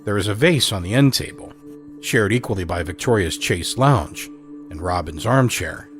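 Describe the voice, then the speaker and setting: A man narrates a drama in a measured, literary voice with dry wit. His voice sits on the low side. A faint score plays softly beneath the narration. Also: animated, faint music behind.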